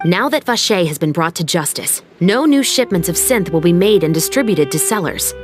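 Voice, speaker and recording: A woman's voice: fairly low pitch, expressive, normal speed, soft background music, natural voice. A woman playing a firm, no-nonsense young patrol captain in a video game. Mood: matter-of-fact. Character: stern, assured young female patrol captain, justice-minded. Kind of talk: video game cutscene acting.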